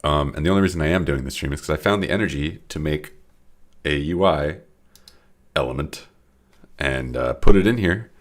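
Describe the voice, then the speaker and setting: A man's voice: rumbling low, normal speed, long pauses. A young man with a stuffy, congested voice, rambling casually and self-deprecatingly while he streams his game development. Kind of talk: casual live-stream commentary.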